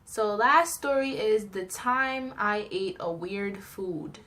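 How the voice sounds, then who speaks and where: A young woman tells personal stories in a casual, chatty vlog style, animated and giggly as she acts out little reactions. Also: medium pitch, takes it slowly. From New York, United States. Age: young adult. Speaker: vlogger telling personal stories.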